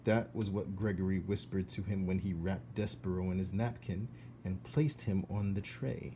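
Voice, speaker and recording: A man reading a children's storybook aloud in an expressive narrating style. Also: low-pitched, moderate pace, a little hiss or hum.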